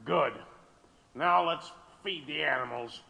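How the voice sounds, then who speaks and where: A man's voice: fairly high pitch, slow, creaky, sharp tone, heavily acted. A man playing a brusque, exasperated big-city mayor in an audio drama, curt, sarcastic and commanding. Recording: long echoing hall reverb.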